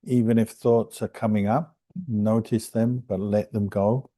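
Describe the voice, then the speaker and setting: Very mellow, slow-speaking man guiding meditation. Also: on the low side, dry recording. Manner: calm, unhurried, gentle pauses between phrases. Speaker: monk, meditation teacher. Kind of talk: guided meditation instruction.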